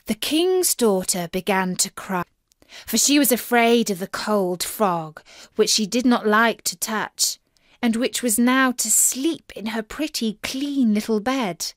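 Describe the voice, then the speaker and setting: A woman reading a children's fairy tale as a storyteller, in a measured, expressive manner. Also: fairly high pitch, no room sound.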